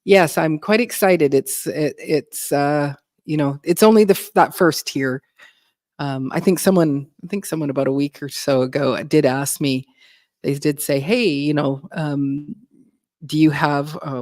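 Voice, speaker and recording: A woman's voice: deep voice, fairly even intonation, moderate pace, a little mellow, dry recording. Lady hosting a live stream, rambly and laughing a lot, lots of ums, dead dry mic sound. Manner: chatty, laughs often, many fillers and restarts. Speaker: livestream host. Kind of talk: casual livestream chat.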